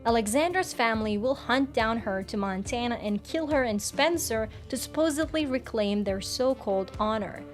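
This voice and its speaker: Higher-pitched woman narrating with background music. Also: expressive, moderate pace, hardly any pauses. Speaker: entertainment news video presenter. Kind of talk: scripted video narration.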